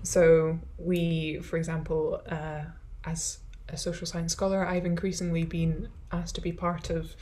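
Low-voiced Scottish woman academic. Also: flat delivery, measured pace, few pauses, warm tone. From Scotland. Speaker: academic speaker, social science scholar. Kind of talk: conversational question-and-answer after an academic talk.

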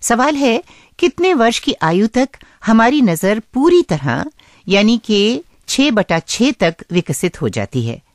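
A woman's voice: on the high side, sing-song, slow pace, no room sound, studio quality. A woman hosting a radio show in a scripted but conversational style.

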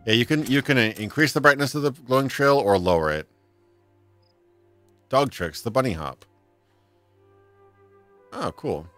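A man's voice: medium pitch, animated, unhurried, long pauses, a bit bright. A man in his thirties casually chatting and laughing as he plays a game on a livestream, at a desk mic in a home room. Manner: chatty, laughs often, reads chat aloud. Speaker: livestream gamer. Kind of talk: casual let's-play chat. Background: faint game music.

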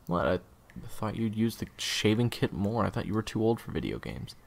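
A man's voice: fairly low pitch, big pitch swings, normal speed, vocal fry, full character voice. A man playing a weary, evasive assassin in an audio roleplay, talking in a dry, hesitant, sarcastic way. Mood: awkward, rueful. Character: sardonic male assassin captor, weary and evasive.

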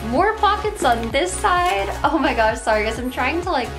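A woman's voice: high-pitched, big pitch swings, moderate pace, over music. A young woman vlogging a makeup haul to camera in a small bedroom, gushing and filler-heavy, her voice slightly strained. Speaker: beauty vlogger. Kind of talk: casual product showcase to camera. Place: small bedroom with plush toys, indoors.

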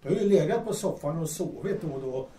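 Man acting a patient dry friend, audio drama, mellow voice, roomy, off-mic. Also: fairly high pitch, lively intonation, lightly acted. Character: patient, dry-humoured male friend of a drunkard. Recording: roomy, distant mic, faint noise.

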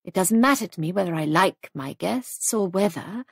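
A woman voicing a spirited young guesthouse keeper in a storybook, brisk and light, pointedly polite and defiant. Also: lowish voice, animated, gravelly, slightly rough, dry recording, clean studio sound, heavily acted. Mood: sweetly defiant.

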